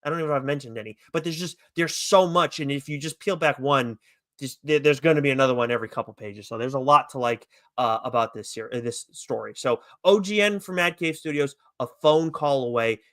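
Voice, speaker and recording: A man's voice: mid-range voice, brisk, fairly bright tone, no room sound, studio quality. A man co-hosting a podcast, chatting casually about comics in a rambling, enthusiastic, loosely profane way. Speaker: podcast co-host reviewing comics. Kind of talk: casual chat review.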